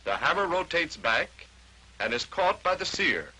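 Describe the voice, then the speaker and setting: A man's voice: on the high side, sing-song, a little slow, sharp tone, husky, low-quality recording. An American man narrating a 1940s instructional training film, explaining step by step in short declarative statements on a vintage film soundtrack.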